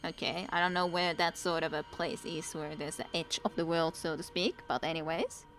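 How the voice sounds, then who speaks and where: Finnish woman streamer, low voice. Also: steady tone, normal speed, a bit bright, faint music behind. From Finland. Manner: chatty, answers chat, laughs often. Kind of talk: casual gameplay commentary.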